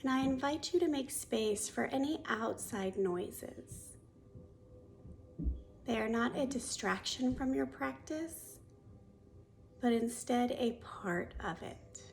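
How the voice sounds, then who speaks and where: Female meditation guide: soft, soothing delivery, very unhurried, with noticeable fry; some ambient noise. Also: on the high side. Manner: soothing, unhurried, gently instructive. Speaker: yoga and meditation teacher.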